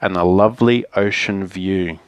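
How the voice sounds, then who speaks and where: A man voicing a sneaky, smooth-talking fox in a children's picture book, wheedling and persuasive like a salesman. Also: sits low, fairly even intonation, moderate pace, no room sound, natural voice. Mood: coaxing.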